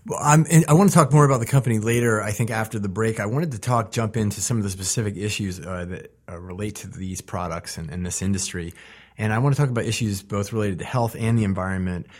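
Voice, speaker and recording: A man hosting a radio talk show, asking curious, measured questions. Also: fairly low pitch. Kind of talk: interview questions.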